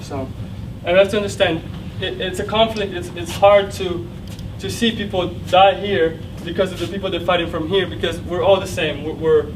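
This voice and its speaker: Young Ukrainian-accented man, native Russian speaker, student presenter, somewhat high voice, recorded far off in a lecture room. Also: steady tone, normal speed, few pauses. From central Ukraine. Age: young adult. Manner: explanatory, with frequent fillers and restarts. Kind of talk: classroom presentation with slides. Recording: distant mic with clear background noise.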